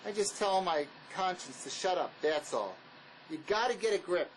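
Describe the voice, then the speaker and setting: A man's voice: high voice, expressive, normal speed, low-quality recording. An actor playing a cynical, impatient male ex-con in a drama, with a curt, needling, tough-talking manner. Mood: cynical, uneasy. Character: hard-edged, impatient ex-con man, cynical and resourceful. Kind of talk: dramatic script performance. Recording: faint background noise.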